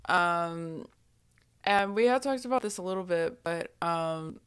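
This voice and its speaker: A woman's voice: fairly low pitch, a little slow, a bit bright, smooth, no room sound. A woman host from New Jersey, asking questions in a casual interview, hesitant and full of ums. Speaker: YouTube host.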